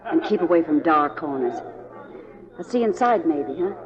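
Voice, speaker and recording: A sassy saloon singer in a radio Western, her pitch swooping in lively fashion as she teases and wisecracks. The old broadcast is dull, with long hall reverb. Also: moderate pace, crowd noise. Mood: flirtatious. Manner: wisecracking, teasing. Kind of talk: 1940s radio Western acting.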